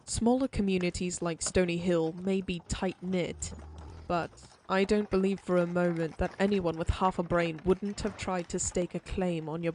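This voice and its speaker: A woman's voice: lowish voice, darkish tone, a little hiss or hum. A woman voicing a prim, sympathetic frontier lawyer in an audio roleplay, speaking formally with old-fashioned phrasing.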